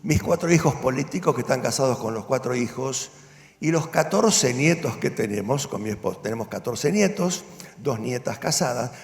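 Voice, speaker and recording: An older man, a pastor telling his life story in earnest, storytelling fashion, rising to firm conviction at times. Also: medium pitch. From Argentina. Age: 70s. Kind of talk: personal testimony at a church event. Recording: long hall reverb with music behind. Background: music.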